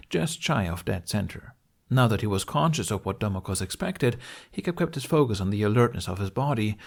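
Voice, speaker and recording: A man's voice: fairly low pitch, gravelly. A man narrating a fantasy story as an audiobook, reading scripted prose expressively.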